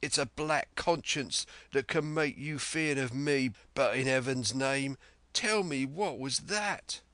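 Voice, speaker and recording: A man voicing an honest young sailor with a hoarse, shaking voice who speaks earnestly, for a storybook reading. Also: medium pitch, takes it slowly, fairly bright tone, no echo, voice pushed up. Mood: defiant. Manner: earnest, pleading then defiant.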